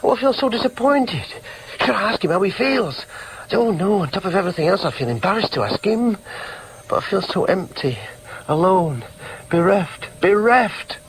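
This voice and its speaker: An actor playing an earnest, needy grown son in a radio drama, questioning himself emphatically. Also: male voice, high voice, animated, moderate pace, poor audio. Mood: hurt, forlorn. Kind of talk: radio drama with inner monologue.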